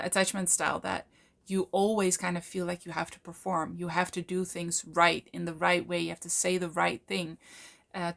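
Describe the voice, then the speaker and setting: A Dutch woman, a native Dutch speaker talking in English, explaining things to camera in a thoughtful way, with many fillers and slow, stretched words for emphasis. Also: on the low side. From Netherlands. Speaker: channel host, coach. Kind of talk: casual explanatory talk to camera.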